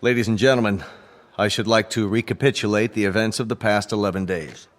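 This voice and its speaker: An actor playing a formal, grave Secretary General addressing an assembly in measured, oratorical radio drama style. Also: male voice, lowish voice, steady tone, slight background noise, close to an everyday voice. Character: formal UN Secretary General making grave announcement, growing flustered.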